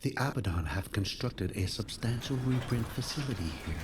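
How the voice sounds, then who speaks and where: An actor playing a calm, solemn machine guide giving formal, measured mission briefings in a game. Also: male voice, medium pitch, lightly acted. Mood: grave. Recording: loud background noise and ambience.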